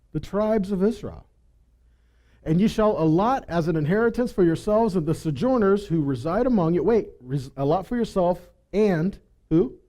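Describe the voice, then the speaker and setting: A man delivers a conversational sermon in a high voice with a soft, mellow tone. His pitch moves around a great deal as he reads scripture, repeats key phrases for emphasis and puts rhetorical questions to his listeners. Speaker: Bible teacher.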